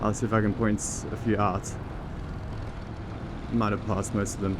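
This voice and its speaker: A man's voice: on the low side, moderate pace. Man chatting casually while riding a motorbike. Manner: relaxed, chatty, thinking aloud with restarts. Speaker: vlogger and teaching program founder. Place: on a motorbike in city traffic outdoors. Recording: traffic noise behind.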